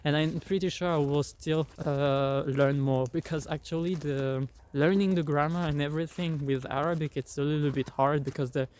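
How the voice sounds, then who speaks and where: A man's voice: a little high, steady tone, moderate pace, hardly any pauses, darkish tone, a little hiss or hum. French-accented man, loose rambling review. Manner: loose, rambling, many "like" fillers and restarts. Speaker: vlogger. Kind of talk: casual app review. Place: outdoors in cold weather. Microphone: phone held by the speaker.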